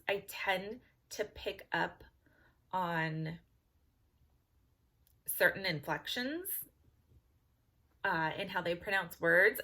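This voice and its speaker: A Californian woman talking to her subscribers in a loose, rambling chat full of little laughs and fillers. Her voice is bright and forward. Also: mid-range voice, husky. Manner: chatty, giggly, rambling with fillers. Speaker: vlogger. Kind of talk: casual talk to camera.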